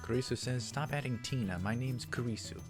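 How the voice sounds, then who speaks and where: A man's voice: medium pitch, fairly quick, music in the background. A man hosting a let's play, reading visual novel text aloud and adding casual comments.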